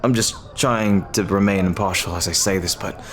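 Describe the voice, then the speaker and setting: A lovestruck pirate captain, played by a man in an audio roleplay. His voice is low and deep. He speaks earnestly and hesitantly, with tender, formal, old-fashioned phrasing. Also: moderate pace, close to an everyday voice. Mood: restrained, wistful. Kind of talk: ASMR roleplay acting.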